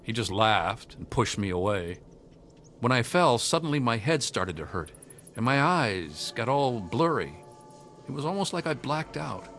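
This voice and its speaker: A man's voice: medium pitch, sing-song, a little slow, fairly bright tone, a little hiss or hum. An actor playing a troubled, earnest young man haunted by hunger, confiding hesitantly as video game dialogue. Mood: haunted recollection. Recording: music behind the voice.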